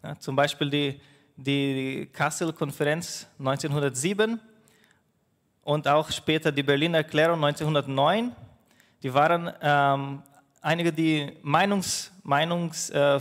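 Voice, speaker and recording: A man's voice: on the high side, fairly bright tone, big-room sound. A man whose first language is Portuguese, preaching a sermon as pastor, often restarting his phrases with fillers. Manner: halting, with frequent restarts and fillers.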